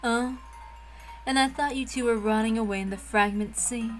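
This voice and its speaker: A woman's voice: fairly high pitch, steady tone, moderate pace, faint music behind, natural voice. A woman voicing a coolly menacing ancient witch in girl's form, dry and taunting, in an amateur visual novel reading. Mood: haughty, airy.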